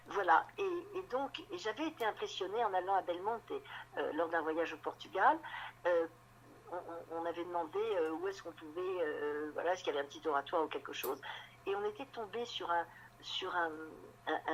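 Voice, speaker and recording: Halting woman novelist in an interview. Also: lowish voice, lively intonation, a little hiss or hum, poor audio. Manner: halting, repeats words while searching for them. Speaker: novelist guest. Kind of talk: radio interview conversation.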